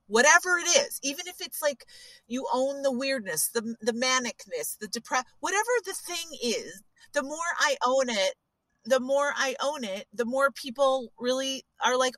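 Bright, animated woman, lively pitch. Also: dry recording, rough recording. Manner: animated, blunt and expressive. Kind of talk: casual chat.

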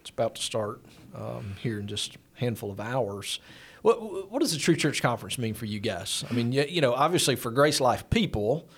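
A man's voice: mid-range voice, sing-song, normal speed, few pauses, husky. A man hosting a church podcast interview, encouraging and affirming his guests.

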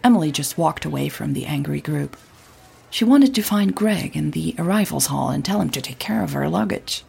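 A woman narrating a scripted audio drama in a measured, understated, wry storytelling manner. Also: low-pitched, lively intonation, creaky, darkish tone, breathy edge.

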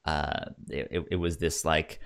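A man co-hosting a casual film discussion, talking haltingly and often repeating words as he gets his thoughts out. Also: a bass voice, flat delivery, creaky, smooth. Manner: halting, repeats words and stammers over starts. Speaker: podcast co-host.

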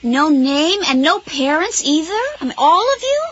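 An actress playing a flustered suburban woman in an audio drama, scolding but soft-hearted, in a natural style. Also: sits high, animated, normal speed, lo-fi sound, a little hiss or hum. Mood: indignant, puzzled.

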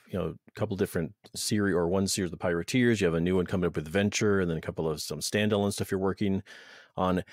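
A man hosting a podcast, asking his guests long questions in a relaxed way. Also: low voice, no echo, clean studio sound. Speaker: podcast host. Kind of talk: interview questions.

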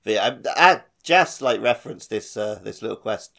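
A man's voice: fairly low pitch, animated, moderate pace, creaky, sharp tone. A man co-hosting a podcast in casual, conversational chat about a video game. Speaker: podcast co-host.

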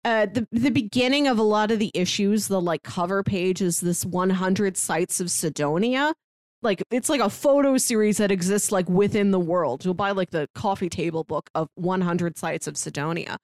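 A woman's voice: medium pitch, normal speed, darkish tone, dry recording, clean studio sound. A woman co-hosting a podcast, chatting casually through a book review in a wry, informal way. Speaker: podcast co-host reviewing manga.

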